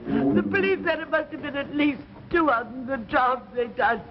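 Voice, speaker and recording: A plaintive working-class widow in a 1950s drama. She is distraught yet blunt and suspicious, repeating her words. The sound is dull and clearly noisy, like an old broadcast. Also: high-pitched, normal speed. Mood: grieving. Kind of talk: 1950s anthology drama acting.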